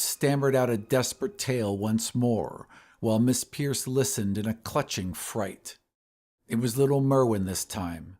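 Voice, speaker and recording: A man reading a scripted horror story in a measured, literary storytelling manner. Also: mid-range voice, a little mellow. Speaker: audiobook narrator. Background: ambient sound effects.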